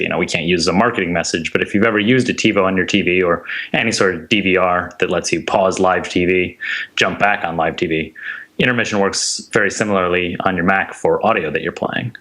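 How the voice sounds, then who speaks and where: A man in his thirties talks as an interview guest. His voice is very low in pitch, with a bright, forward edge. He explains in a casual, conversational way, close to the microphone. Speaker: interview guest, software maker. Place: small indoor room on a video call. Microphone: close large desk microphone.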